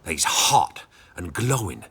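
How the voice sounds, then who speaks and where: An actor playing a wary, humble, superstitious peasant man in a video game, rustic grammar and a rough, deferential way of talking. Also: low-pitched, big pitch swings, slow pace, bright, forceful tone, exaggerated voice. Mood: fearful, dramatic. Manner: deferential, rustic dialect grammar, vivid telling.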